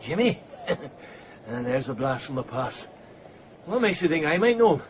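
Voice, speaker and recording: A man's voice: on the high side, big pitch swings, normal speed. A man playing a sly, wary Scottish street beggar in a radio comedy, cagey and wheedling. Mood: sly, amused. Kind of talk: radio comedy drama acting. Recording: dull radio recording with background noise.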